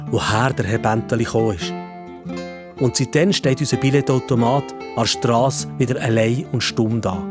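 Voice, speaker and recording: A man with a Swiss German accent narrating a children's story in the first person, in simple, conversational phrasing. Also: mid-range voice.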